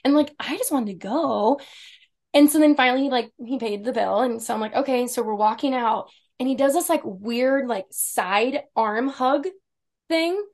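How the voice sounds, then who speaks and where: A woman in her thirties with a fairly deep voice, telling a dating story in casual chat and acting out the quotes. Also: moderate pace. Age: 30s. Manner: animated storyteller, acts out quotes, laughs often. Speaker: podcast guest, esthetician.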